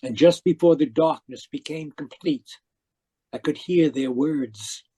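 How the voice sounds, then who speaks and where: An actor playing an obsessive, domineering uncle in an old-style radio mystery, with theatrical, emphatic delivery. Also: male voice, fairly high pitch, measured pace, dark tone, husky. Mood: grave, haunted narration. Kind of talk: 1940s radio mystery acting, narrating and dialogue. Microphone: video call. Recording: muffled-edged online video call.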